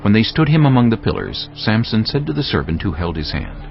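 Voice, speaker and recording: Very deep, gravelly male narrator. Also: sing-song. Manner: solemn, measured storytelling. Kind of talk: scripted dramatized Bible narration. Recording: slightly dull sound, faint music.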